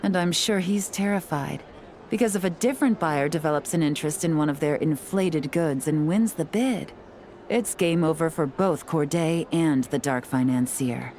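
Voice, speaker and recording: Brief: cool, collected spy, low and unhurried, game dialogue. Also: female voice, slight background noise. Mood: composed. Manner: calm, measured, self-assured. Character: cool, composed female spy and tea house owner. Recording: game audio with faint music.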